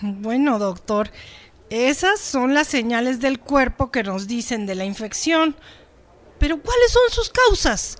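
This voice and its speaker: A woman's voice: a little high, very animated, measured pace. An actress playing an attentive, concerned village woman with a Mexican accent, speaking earnestly in an educational radio drama. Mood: inquisitive.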